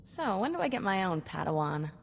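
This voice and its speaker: An actress playing a sassy, cocky young Jedi sidekick in a video game, with wry, clipped banter. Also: female voice, mid-range voice, very animated, a little fast, vocal fry, long reverb tail, faint music behind. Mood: playful, cocky.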